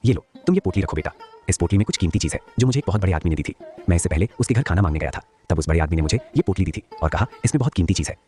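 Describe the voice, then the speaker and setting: A man's voice: low-pitched, animated, racing, a little mellow, pitched below the performer's own voice. A man voicing a grateful, generous wandering beggar elder in a cartoon, solemn and benevolent as he speaks. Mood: grateful, blessing. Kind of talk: cartoon moral-story dialogue.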